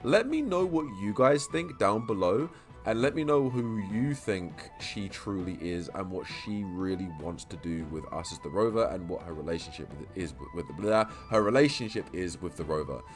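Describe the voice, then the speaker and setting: A man talking through game-lore theories in a casual vlog. He stutters and repeats himself, restarting words and rambling on quickly. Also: low-pitched, lively intonation, soft background music. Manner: talks quickly. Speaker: YouTube lore creator.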